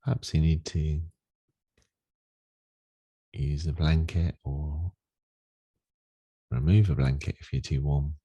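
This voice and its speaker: A man leading a guided meditation in a gentle, unhurried, soothing manner with long pauses. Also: rumbling low, steady tone, slightly rough, no room sound. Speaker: meditation teacher.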